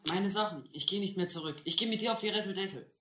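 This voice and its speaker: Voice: mid-range voice, fast talker, bright, forceful tone, lightly acted. A young performer playing an earnest, defiant runaway boy in a radio play, insistent and boyish. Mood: defiant, hurt. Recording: echoey old recording, faint noise.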